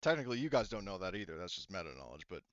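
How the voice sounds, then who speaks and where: A man running a tabletop roleplaying game as game master, explaining details casually in a halting way. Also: sits low, very animated, no room sound, muffled recording.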